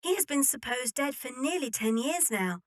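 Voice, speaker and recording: A woman's voice: high-pitched, lively intonation, normal speed, dry recording, clean studio sound. A woman playing an opinionated, decisive young heiress in a period audio drama. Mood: formal.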